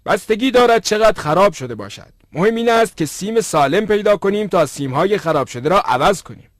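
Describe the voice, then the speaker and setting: A man's voice: sits high, animated, no room sound, studio quality. A man voicing a practical, devout traveler in a cartoon, with earnest, formal diction. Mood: concerned. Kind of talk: dubbed cartoon dialogue.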